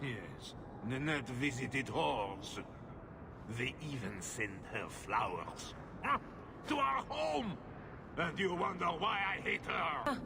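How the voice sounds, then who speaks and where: A man's voice: medium pitch, sing-song, takes it slowly, vocal fry, background noise, heavily acted. A man playing a bitter, haughty husband in a video game, self-pitying and scornful, with an indignant, pompous, defensive manner. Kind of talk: dramatic video game acting. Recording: dramatic game music behind the voice.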